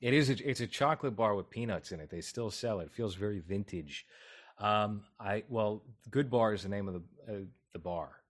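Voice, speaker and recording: A man's voice: fairly low pitch, moderate pace. A man hosting a casual film podcast, giving sardonic, film-buff recommendations. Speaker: podcast host.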